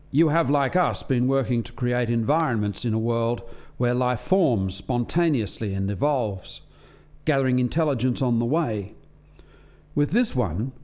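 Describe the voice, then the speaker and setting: A man's voice: mid-range voice, a little mellow, natural voice. A man playing an earnest alien scientist who explains experiments to colleagues in formal, articulate language in a radio play. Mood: matter-of-fact, explanatory.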